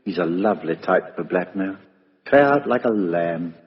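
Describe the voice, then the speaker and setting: An actor playing a genial, probing British chief inspector in a 1950s radio drama, colloquial and businesslike. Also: male voice, low-pitched, expressive, moderate pace. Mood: shrewd, chatty. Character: brisk, genial but probing male police chief inspector. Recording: muffled, reverberant vintage radio recording.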